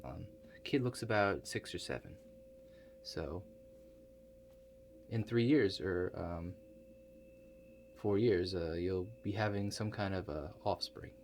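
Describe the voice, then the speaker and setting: A man playing a low-voiced, hesitant ASMR gadget operator. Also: darkish tone, a little hiss or hum. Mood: matter-of-fact, hesitant. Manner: halting, understated, mumbled asides with many pauses. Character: awkward, hesitant male operator of a future-viewing contraption. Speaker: ASMR roleplay performer. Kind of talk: soft-spoken ASMR roleplay.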